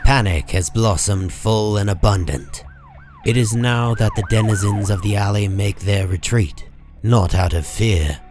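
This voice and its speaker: Man, low voice, slow pace, grave dramatic narration, comic book storytelling, faint music bed. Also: a little mellow. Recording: music under the voice.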